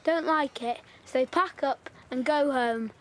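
Here is a gossipy village girl from wartime, as an actress plays her in a radio play. She talks high and eager, earnest and quick to chime in. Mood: chatty. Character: chatty local Yorkshire village girl in wartime. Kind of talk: audio drama acting.

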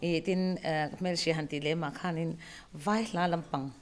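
Low-pitched woman hosting. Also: steady tone, normal speed. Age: middle-aged. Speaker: show host. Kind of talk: casual contestant interview. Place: indoor lounge. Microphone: handheld microphone.

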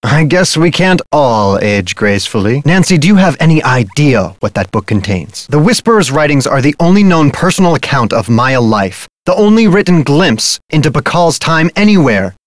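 Mystery game role: theatrical, florid art dealer, very animated and fairly high-voiced. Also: male voice, moderate pace, few pauses, dry recording. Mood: sly, teasing. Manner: melodramatic, florid, swooping. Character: flamboyant, theatrical male art dealer, dramatic and gossipy. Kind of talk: theatrical video game character acting.

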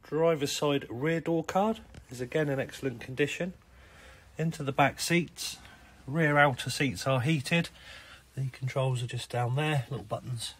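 A hoarse British man, his voice rough and a little husky, chats easily through a car walkaround. He sits at an ordinary middle pitch and keeps a relaxed, listing manner, recorded on a handheld phone inside a showroom. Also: measured pace. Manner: relaxed, chatty listing of features. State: hoarse, croaky voice. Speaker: car salesman. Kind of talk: walkaround car sales video. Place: indoor car showroom with tiled floor.

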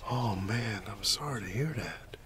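A man doing soft-spoken ASMR roleplay, often whispering, talking in a halting, unhurried murmur as if to a friend beside him. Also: lowish voice, lively intonation, crowd noise. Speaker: ASMR creator.

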